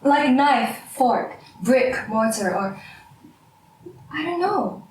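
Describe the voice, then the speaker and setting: A woman's voice: high-pitched, animated, extremely slow, darkish tone, very echoey, exaggerated voice. Actress playing proud sore-loser woman mathematician, sardonic, argumentative, stage drama, distant from mic, faint noise. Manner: sardonic, argumentative, theatrical, sweary. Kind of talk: stage-style two-hander drama dialogue.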